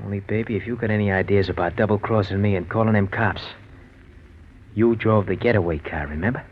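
A man's voice: fairly low pitch, a little fast, natural voice. An actor playing a controlling, suspicious gangster with slangy tough-guy delivery in a 1940s radio drama. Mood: threatening. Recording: muffled, noisy old radio recording.

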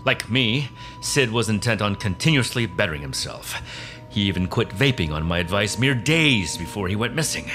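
An actor playing a pompous, theatrical office boss in an audio drama, speaking gravely with dramatic pauses. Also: male voice, fairly low pitch, very animated, sharp tone, soft background music. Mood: solemn, self-important.

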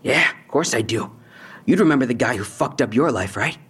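A young man with a husky voice talks in slangy, defensive bursts. He postures as tough and dodges questions, with fear underneath, in a naturalistic audio drama. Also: mid-range voice, expressive, fairly quick, a bit bright. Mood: bitter, resentful. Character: defensive young robbery suspect, tough talk hiding fear.

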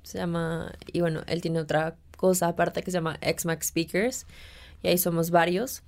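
A woman's voice: on the low side, fairly even intonation, moderate pace, a little mellow. Playful laughing woman guest. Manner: playful, laughs often, drops in English words. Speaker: guest artist. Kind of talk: casual interview chat. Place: podcast studio.

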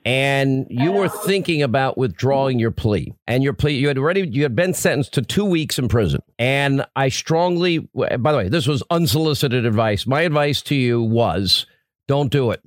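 A man's voice: mid-range voice, expressive, moderate pace, no room sound, clean studio sound. A man hosting a radio talk show, interviewing guests in a rambling, chatty way with frequent restarts. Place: radio studio.

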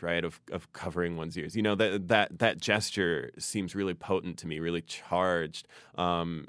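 A man whose first language is Farsi speaks as a poet guest in a thoughtful interview. His voice sits very low. He talks reflectively and often closes his points with "right?", recorded dry and close. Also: a bit bright, no room sound. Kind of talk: conversational interview.

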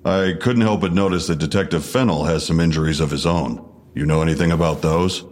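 An actor playing a stern, needling police detective questioning someone in a measured, sardonic way in an audio drama. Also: male voice, deep voice, lightly acted. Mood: sardonic pressure. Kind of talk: full-cast audio drama interrogation.

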